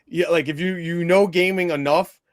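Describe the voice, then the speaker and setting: A man chatting casually as he reviews a movie, animated and quick to swear or act out bits. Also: on the high side, no room sound, clean studio sound. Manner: animated, mimicking characters, swearing.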